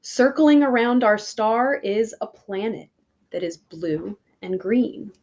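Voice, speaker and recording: A woman reading a picture book aloud like a teacher, with deliberate, emphatic phrasing for young listeners. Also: fairly high pitch, lively intonation, a little mellow. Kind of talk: children's read-aloud.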